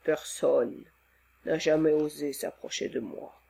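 A woman voicing a huge, gentle male forest monster in a read-aloud storybook, speaking slowly in a deep, hushed voice. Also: vocal fry, breathy edge, full character voice. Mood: calm, grave.

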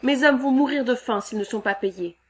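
A woman's voice: on the high side, moderate pace, slightly rough, pitched above the performer's own voice. A woman voicing an anxious, earnest male lumberjack foreman in a read-aloud storybook, with a raised, eager voice. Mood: nervous.

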